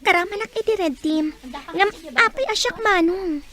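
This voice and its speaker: A woman's voice: very high-pitched, moderate pace, sharp tone. A woman acting a young female student in an audio drama, insecure and plaintive, speaking expressively. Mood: bashful.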